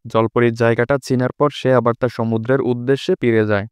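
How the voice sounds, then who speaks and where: A man's voice: mid-range voice, level tone, quick pace. A man giving a scripted storyteller's narration for a fairy-tale cartoon. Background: prominent music.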